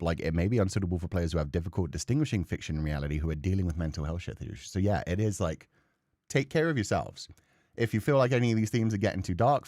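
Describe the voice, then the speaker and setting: A man livestreaming a horror game, chatting casually and excitably and swearing freely. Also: sits low, no echo, clean studio sound. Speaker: game streamer.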